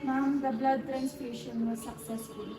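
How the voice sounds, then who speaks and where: A woman's voice: high voice, level tone, unhurried. A woman with a Filipino accent playing a formal, reassuring hospital doctor in an amateur student play. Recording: echoey, noisy, distant microphone.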